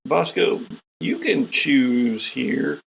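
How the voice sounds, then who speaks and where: Slow, very gravelly male game master. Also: medium pitch, sing-song. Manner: deliberate, explanatory. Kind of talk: narrating a tabletop roleplaying game, conversational.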